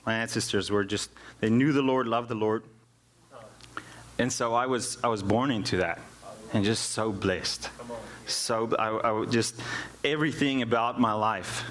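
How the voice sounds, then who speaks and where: A man's voice: on the low side, fairly bright tone, slight background noise. A South African man, a native Afrikaans speaker, giving an informal personal testimony in church, often repeating words as he searches for phrasing. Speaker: worship musician and music teacher giving a testimony.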